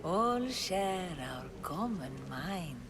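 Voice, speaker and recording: A woman's voice: fairly low pitch, very animated, extremely slow, noisy background, exaggerated voice. Effusive, swooping cultist woman. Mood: zealous. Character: fussy, eager secret cultist woman, officious greeter. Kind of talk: dramatic video game acting.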